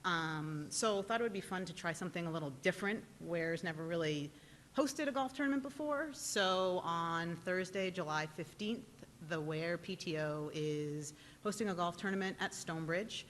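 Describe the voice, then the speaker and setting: A woman's voice: on the low side, normal speed, a bit bright. A woman teacher speaking for the parent group at a board meeting, bubbly, chatty and a little self-conscious. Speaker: teacher and PTO volunteer. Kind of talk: casual presentation at a meeting. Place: town meeting room. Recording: reverberant meeting room with faint noise.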